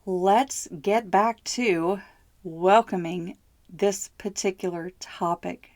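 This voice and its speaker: Hoarse, gravelly woman podcaster. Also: medium pitch, darkish tone, no echo, clean studio sound. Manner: slow, heartfelt and candid. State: hoarse from bronchitis. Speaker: podcast host. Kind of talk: solo podcast monologue.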